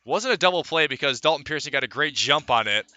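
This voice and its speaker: A man calling live baseball play-by-play for radio, mixing pitch-by-pitch description with conversational asides. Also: lively intonation, fast talker, sharp tone, no room sound. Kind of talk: live sports commentary.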